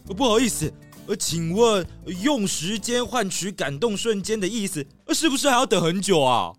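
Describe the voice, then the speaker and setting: An encouraging, forgiving young animal runner, acted by a woman in a children's radio play. The voice sits at a middle pitch over clearly audible music. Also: expressive, normal speed, lightly acted. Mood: hesitant. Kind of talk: children's audio drama acting.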